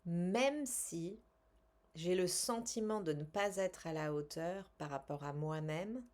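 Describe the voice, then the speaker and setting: Slow, lower-pitched woman guiding an exercise. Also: a little mellow. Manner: measured, instructional, with pauses between short phrases. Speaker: tapping coach and language teacher. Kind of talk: guided tapping exercise with repeated phrases.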